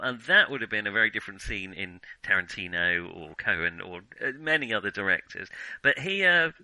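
A man with a very bright, forward voice hosts a casual film discussion. He is hesitant, circling back and rewording as he talks, and the sound is close and dry. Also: mid-range voice, no room sound, rough recording. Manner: hesitant, hedging, often rephrasing mid-thought. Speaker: film podcast host.

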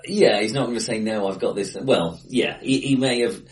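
A man co-hosting a casual film discussion podcast, thinking aloud with many restarts and fillers, recorded face to face in a bright, live room. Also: lowish voice, moderate pace, recorded from a distance. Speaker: podcast co-host.

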